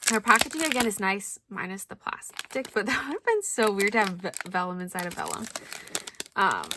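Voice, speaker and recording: A young woman chatting casually through a stationery unboxing, thinking aloud with lots of likes and ums, her voice a little hoarse. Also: mid-range voice, very animated, a little fast, noisy background. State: slightly hoarse. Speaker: planner vlogger.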